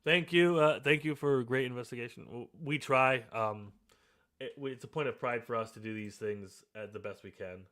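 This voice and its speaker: A man's voice: medium pitch, moderate pace. A man hosting a podcast after-show, talking casually and rambling with fillers. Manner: relaxed, rambling, many fillers. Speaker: podcast host.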